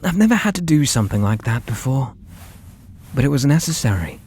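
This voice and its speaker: A British man voicing an obsessed, possessive artist and menacingly tender lover in a breathy, theatrical ASMR roleplay, drifting into whispers. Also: medium pitch, sing-song, brisk, a little mellow. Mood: smug, adoring. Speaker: audio roleplay voice actor.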